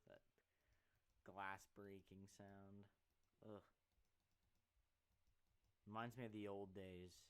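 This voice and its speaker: A man's voice: on the low side, little pitch movement, slow pace, pauses often, smooth. A man thinking aloud in an offhand way as he plays a game live. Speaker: gaming YouTuber playing live. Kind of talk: live gameplay talk.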